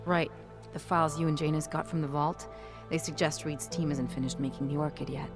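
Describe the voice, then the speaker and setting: An actress playing a frank, self-reliant, streetwise informant in a video game, explaining things in informal language. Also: female voice, low voice, flat delivery, brisk. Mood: explanatory, urgent. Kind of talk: video game cutscene acting. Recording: music clearly behind the voice.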